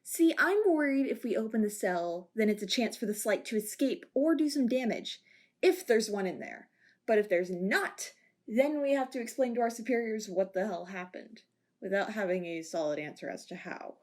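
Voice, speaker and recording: A woman's voice: medium pitch, lively intonation, normal speed, close to an everyday voice. A woman playing a cocky, teasing female security chief aboard a ship, in an amateur audio drama. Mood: scheming. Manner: brash, teasing, bossy.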